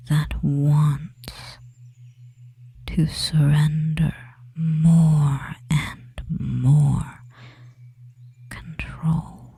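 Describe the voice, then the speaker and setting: A devious, controlling male witch acted out by a performer murmurs in a hushed, breathy whisper. The delivery is extremely slow and very dark in tone, lulling the listener in a hypnotic ASMR roleplay with little rise or fall. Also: mid-range voice, no echo, exaggerated voice. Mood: coaxing, hypnotic. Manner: slow, soothing, hypnotic, pausing between short phrases.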